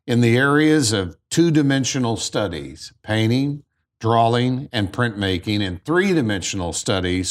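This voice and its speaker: A man hosting a podcast interview, speaking in an emphatic, complimentary way to his guest. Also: mid-range voice. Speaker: podcast host.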